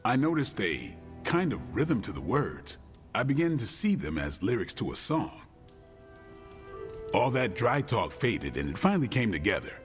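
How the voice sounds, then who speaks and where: An actor playing a thoughtful, terse, plain-spoken superhero in a video game cutscene. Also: male voice, on the low side, sing-song, normal speed, faint music behind. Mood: relaxed, thoughtful.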